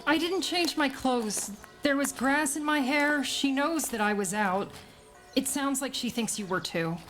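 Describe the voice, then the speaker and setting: A woman's voice: high voice, unhurried, fairly bright tone. An actress playing a frightened, haunted young woman in a radio play, with intense, dramatic delivery. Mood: anxious, apologetic. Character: haunted, frightened young Sicilian woman seeing bloody visions. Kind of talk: cinematic radio play, first-person narration and dialogue. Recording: music under the voice. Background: music soundtrack.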